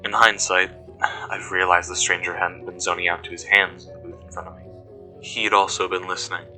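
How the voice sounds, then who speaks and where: A man playing an uneasy investigator who recounts events in measured, deliberate horror narration. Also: low-pitched, flat delivery, pushed and bright, poor audio. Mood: measured unease. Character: uneasy male investigator recounting being followed. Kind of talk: scripted first-person horror narration. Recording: music behind the voice.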